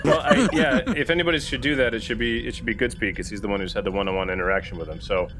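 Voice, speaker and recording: A man's voice: lowish voice, lively intonation, racing, fairly bright tone. Hesitant man role-playing, faint noise. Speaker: tabletop game player playing a starship captain. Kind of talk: casual improvised role-play and table talk.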